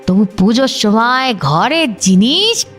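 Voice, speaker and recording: A woman's voice: fairly high pitch, sing-song, slow, fairly bright tone, exaggerated voice. A woman playing an elderly village grandmother in an audio drama, chatty and chiding, full of rhetorical questions. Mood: puzzled, recalling. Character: scolding, reminiscing elderly grandmother of a village household. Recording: music behind the voice.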